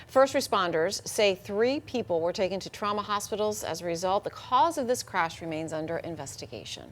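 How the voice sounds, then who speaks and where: Mid-pitched woman anchoring TV news. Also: lively intonation, brisk, a little hiss or hum. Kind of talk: scripted news reading. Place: TV studio.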